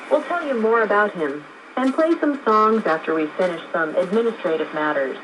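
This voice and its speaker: A woman hosting a radio program, reading scripted narration, heard through a noisy shortwave broadcast. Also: medium pitch.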